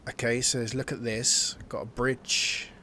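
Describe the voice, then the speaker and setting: A man giving casual, excitable commentary while playing a video game and thinking aloud. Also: mid-range voice, unhurried, a little hiss or hum. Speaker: gameplay streamer. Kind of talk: casual let's-play commentary.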